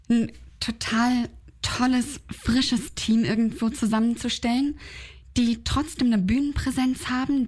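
A woman with a somewhat high voice talks about the audiobook she directed. She explains with enthusiasm and energy. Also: a little mellow. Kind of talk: interview chat.

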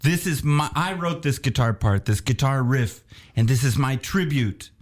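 A man, a guest musician on a cold rooftop, chatting playfully with jokes and halting restarts. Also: medium pitch. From United States. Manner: playful, joking, often laughing, halting restarts. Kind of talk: casual interview chat. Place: rooftop terrace outdoors, cold day.

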